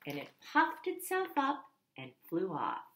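A woman putting on a cheerful, excited guest-reader voice for children, high and loud. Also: a little slow, heavily acted. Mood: storytelling. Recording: echoey room.

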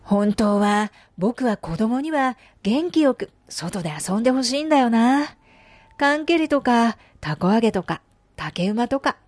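A woman voicing a worried, kindly young father in an animated children's folk tale, musing and gently pleading. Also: on the high side, a little slow, no room sound. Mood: rueful, musing.

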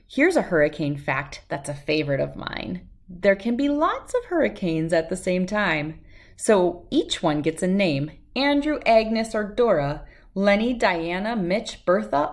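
A middle-aged woman reads a rhyming children's picture book aloud in a measured, sing-song way. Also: fairly low pitch.

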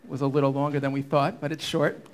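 A man's voice: medium pitch, little pitch movement, fast talker. Formal man moderating a panel. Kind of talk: prepared conference remarks. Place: conference stage before an audience.

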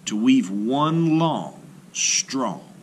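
A man reading a children's folktale aloud in a theatrical, measured way, lingering on phrases. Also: mid-range voice, very animated, a little hiss or hum. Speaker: storybook reader.

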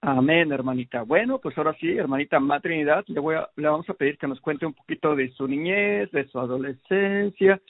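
A man's voice: on the high side, brisk, few pauses, dry recording, phone-quality sound. A man hosting a testimony channel, politely and affectionately questioning his guest from home. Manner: polite and affectionate, addressing the guest as little sister. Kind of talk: friendly interview.

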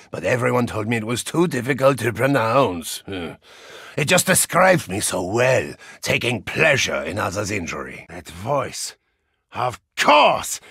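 An actor playing a brash, hot-tempered German football hooligan action hero in a video game, with a German accent, a gravelly rasp and bombastic delivery. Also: male voice, a little high, no echo, clean studio sound, heavily acted. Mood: gleeful, then outraged. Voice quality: gravelly, raspy. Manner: bombastic, theatrical, swinging from growl to shout. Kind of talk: video game character voice lines.

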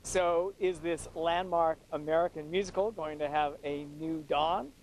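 A man delivering a scripted arts news report as a correspondent, in a measured, polished way. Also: a little high, steady tone, noisy background.

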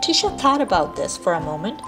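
A woman in her thirties reading a children's story aloud in an expressive manner meant for young listeners. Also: mid-range voice, fairly quick, over music. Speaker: storybook reader, parent.

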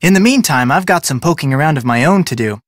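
Breezy young American detective, played by a man, higher-pitched. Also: animated, quick pace, no echo, clean studio sound, close to an everyday voice. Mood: easygoing. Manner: casual, folksy, chatty. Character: friendly, breezy young male amateur detective. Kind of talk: video game dialogue.

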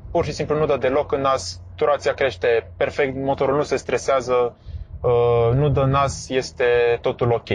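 A man talks calmly from inside a moving car, walking through each step with small pauses and fillers. His pitch sits in the middle, neither high nor low. Faint engine and road noise hums under his voice. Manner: calm, step-by-step explanation with frequent fillers. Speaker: driving instructor vlogger. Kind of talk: explaining while driving.

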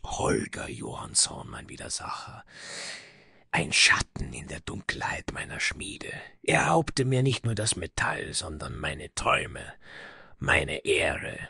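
A man's voice: sits low, sing-song, slow pace, creaky, pushed and bright, husky, dry recording, whispered, studio quality, full character voice. A man playing a proud, vengeful dwarf smith from the icy north, speaking in solemn, grandiloquent phrases in a fantasy audio story. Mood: bitter, wounded.

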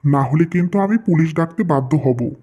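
A man's voice: on the high side, moderate pace, warm tone, close to an everyday voice. A man playing stern male figures of a village family cartoon, firm and melodramatic, in dull lo-fi audio. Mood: annoyed, stern. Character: stern husband and demanding merchant, cartoon men.

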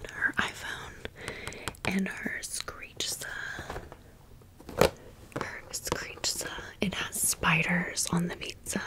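An American woman hosting an ASMR storytime in a breathy whisper, with a casual, sassy, slangy way of talking. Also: mid-range voice, rough recording. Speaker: ASMR video host.

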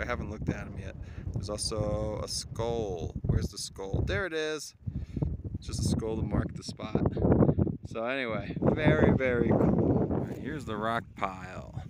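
A man narrating a casual solo hiking vlog in a chatty, wonder-struck way, speaking outdoors into a handheld camera. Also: fairly low pitch. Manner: chatty, exclaiming in wonder, often chuckling. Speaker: solo hiker vlogging. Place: outdoors in windy, open mountain terrain. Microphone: handheld phone or camera while walking. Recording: noisy outdoor recording with clicks.

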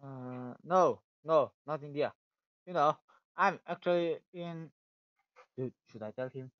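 Young male host, Russian accent, excitable and joking, slightly high voice. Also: measured pace, clear, resonant tone, no echo, muffled recording. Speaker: channel host. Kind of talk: casual prank chat on a voice call. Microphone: phone on a voice call.

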